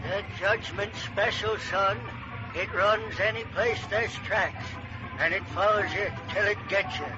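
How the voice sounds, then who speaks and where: An eerie, accusing railroad phantom, speaks in a high, drawn-out, ominous voice. It is old-time radio horror, muffled, with music underneath. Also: male voice, fairly even intonation. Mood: ominous taunting. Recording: dull vintage radio, music behind.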